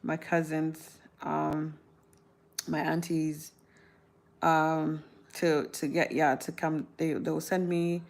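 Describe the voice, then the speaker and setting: Woman, 30s, from South Sudan, chatty vlog Q&A delivery; low, gravelly tone. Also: flat delivery, slow pace. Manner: chatty, laughs often, rambling restarts. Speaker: vlogger answering viewer questions. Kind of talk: casual talk to camera.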